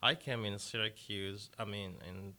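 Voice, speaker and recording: A man with a Kenyan accent answers interview questions, his voice a bit low but bright and forceful. He talks haltingly, with many fillers and restarts. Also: fairly even intonation. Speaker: deacon, interview guest.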